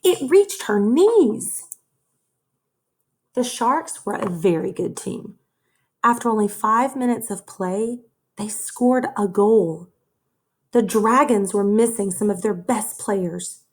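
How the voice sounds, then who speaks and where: Slow-paced, husky woman reading to children. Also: mid-range voice. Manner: measured, expressive storytelling for young listeners. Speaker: teacher reading a story aloud. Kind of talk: children's read-aloud narration.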